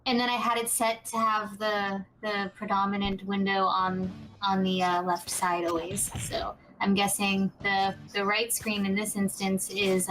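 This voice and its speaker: A woman's voice: medium pitch, fairly bright tone, low-quality recording. A woman hosting a casual gameplay chat, explaining things in a conversational way. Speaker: podcast host presenting gameplay. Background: music behind the voice.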